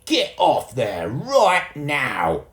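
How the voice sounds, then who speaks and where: A man's voice: medium pitch, huge pitch swings, drawn-out words, a bit bright, echoey room, pitched above the performer's own voice, exaggerated voice. A man voicing a fierce, angry grown man who roars stern orders. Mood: furious. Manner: roaring, stern.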